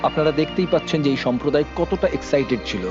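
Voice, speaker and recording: Guy dubbing an earnest charity worker man, slightly high voice, talks fast, music behind. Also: lively intonation, close to an everyday voice. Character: earnest adult male charity field organizer. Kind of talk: dubbed documentary commentary. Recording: muffled audio under music.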